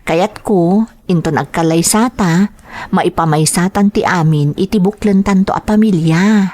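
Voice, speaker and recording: A woman's voice: lowish voice, unhurried, dark tone, no room sound. A woman playing an earnest, dutiful young woman in an audio drama, with a Filipino accent. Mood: apologetic, earnest.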